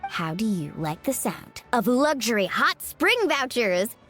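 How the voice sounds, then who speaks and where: A woman voicing a pushy, energetic young woman recruiting for her tribe in a video game. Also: a little high, soft background music. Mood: eager. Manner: eager, persuasive, quick to interrupt.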